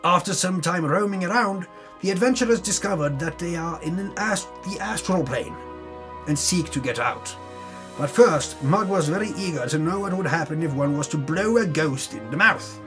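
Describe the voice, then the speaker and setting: A man's voice: a little high, animated, moderate pace. A man giving a dramatic reading of a tabletop game recap, theatrical in his telling, with wry asides. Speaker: reader of a tabletop campaign recap. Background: music under the voice.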